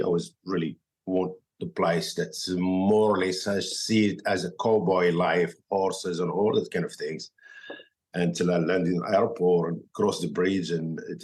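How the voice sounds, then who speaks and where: Deep-voiced Moroccan man, halting English. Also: a little mellow. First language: Arabic. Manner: halting non-native English, many fillers and restarts. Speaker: guest chef. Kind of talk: casual podcast interview.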